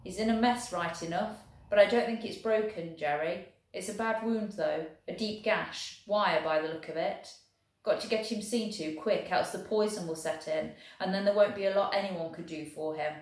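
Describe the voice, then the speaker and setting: A woman's voice: mid-range voice, moderate pace, a little mellow, very echoey, lightly acted, poor audio. A woman reading aloud, voicing a little Welsh soldier with a Welsh accent, earnest and folksy. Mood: practical. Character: little Welsh soldier, warm and plain-spoken.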